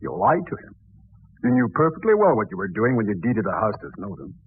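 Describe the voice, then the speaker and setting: Very husky, businesslike male lawyer. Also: fairly low pitch, very animated, fast talker, exaggerated voice. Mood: reproachful, uneasy. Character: suave male family lawyer, businesslike, morally uneasy. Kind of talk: old radio drama acting. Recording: muffled lo-fi old radio.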